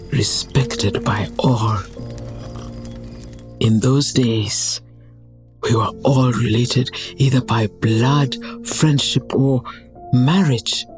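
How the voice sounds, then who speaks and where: A man's voice: medium pitch, darkish tone, lightly acted. A man playing a wise old clan elder, telling his story reflectively and solemnly in a motion comic. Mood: wistful. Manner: slow, reflective storytelling with breathy phrase endings. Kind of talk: dramatic narration in a motion comic. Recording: music behind the voice. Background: music.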